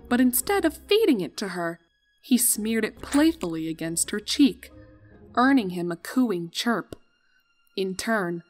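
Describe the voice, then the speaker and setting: A woman reading fan fiction aloud as an expressive storyteller. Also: a little high. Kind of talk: expressive scripted story narration. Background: music.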